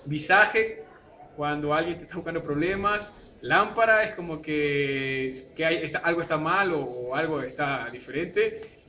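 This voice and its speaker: A man's voice: on the high side, measured pace, sharp tone. A man with a coastal Ecuadorian accent casually explaining local words, hesitant and with fillers. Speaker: interviewee.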